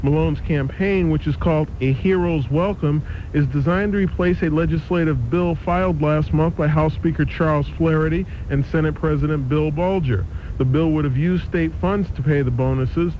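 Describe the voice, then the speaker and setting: A man reads a scripted local radio newscast. His voice sits a little high for a man, on an old aircheck tape with faint noise and rumble. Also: warm tone, rough recording.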